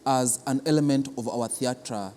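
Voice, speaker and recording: A man with a Kenyan accent explaining a programme in an interview as an official, deliberate and repeating words for emphasis. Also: mid-range voice, steady tone, dark tone, slight background noise. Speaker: cultural centre official being interviewed. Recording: reverberant hall.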